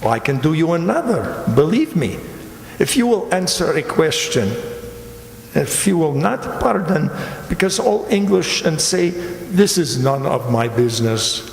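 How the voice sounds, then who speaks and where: An actor playing a sly, shabby fat Italian stranger in a radio drama, with an Italian accent and a courteous, theatrical, mock-humble manner. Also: male voice, a little high, big pitch swings, creaky, slight background noise, heavily acted. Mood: secretive. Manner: mock-humble, ornate, teasing, deliberate speech. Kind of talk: amateur radio drama acting. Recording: very echoey reverberant hall.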